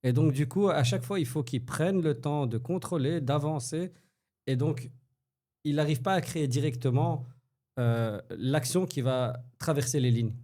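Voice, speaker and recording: Brief: man co-host, warm mellow tone, insistent explainer, casual football debate. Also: on the high side, normal speed. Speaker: podcast co-host.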